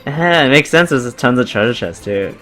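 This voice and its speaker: A man's voice: medium pitch, animated, normal speed, a bit bright. A man chatting casually as a game commentary co-host, reacting in short exclamations with some swearing. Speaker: let's play co-host reacting. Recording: roomy echo, faint game music behind.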